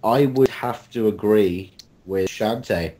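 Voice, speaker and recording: A man with a fairly low voice takes part in casual group banter as a guest. His manner is jokey and irreverent. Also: slow, dark tone, slight background noise, rough recording. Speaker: voice actor and panel guest.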